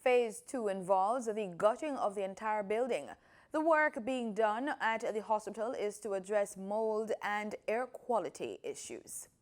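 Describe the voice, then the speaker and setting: A woman's voice: medium pitch, a little slow. A woman presenting scripted news as a news reader.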